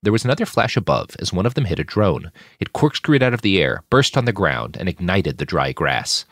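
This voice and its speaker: A man reading scripted action fiction aloud in an expressive, dramatic narrating style. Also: sits low, brisk, no echo, studio quality. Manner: expressive, shouts out characters' lines. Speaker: audiobook narrator reading own fiction podcast.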